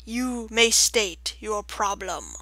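A woman's voice: mid-range voice, lively intonation, pushed and bright, no room sound, exaggerated voice. A woman voicing a large, stern, formal male owl with a round, sonorous voice in an audiobook. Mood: stern, blunt. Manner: slow, formal, weighty delivery. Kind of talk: audiobook character voice.

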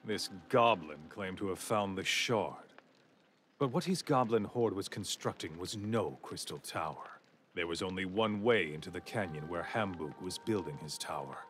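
A man narrating a fantasy game story in a grave, measured tone. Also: low voice, lively intonation, fairly bright tone, a little hiss or hum. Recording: music bed.

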